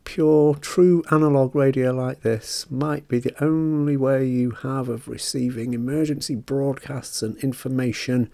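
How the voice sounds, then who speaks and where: A British man giving a casual, conversational product review in an informal, mellow voice. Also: mid-range voice, little pitch movement, unhurried, creaky, few pauses, no room sound.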